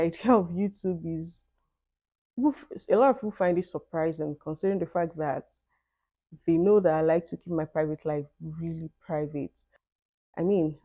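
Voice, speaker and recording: Nigerian woman, low mellow voice. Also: no echo, low-quality recording. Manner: thoughtful, conversational, with "I mean" asides. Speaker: vlogger. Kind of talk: Q&A vlog, reading questions and answering.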